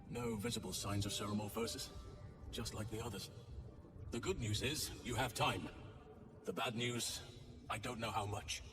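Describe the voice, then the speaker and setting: A man plays a solemn, protective druid leader from a fantasy video game. He speaks formally and with measured resolve. The voice sits back from the mic in a reverberant hall, with loud music behind. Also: fairly low pitch, close to an everyday voice. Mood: grim, resolute. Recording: echoey hall, distant, under loud music.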